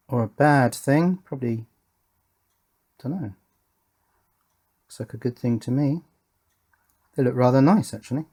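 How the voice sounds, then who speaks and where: A man's voice: mid-range voice, a little mellow. A man giving casual, understated hands-on commentary as he works on a hobby electronics build, often thinking aloud and hesitating. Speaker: hobby electronics video maker.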